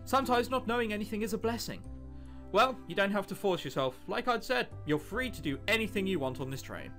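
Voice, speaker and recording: Man reading a chatty, lively female train passenger. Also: high-pitched, a little fast, soft background music, voice pushed up. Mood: friendly, chatty. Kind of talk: visual novel dialogue read aloud.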